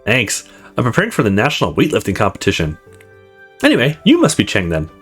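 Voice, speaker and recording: Big, friendly female weightlifter character played by a streamer, very varied pitch, light music underneath. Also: huge pitch swings, fast talker, fairly bright tone, slightly rough, heavily acted. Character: intimidating, muscular, friendly young woman weightlifter.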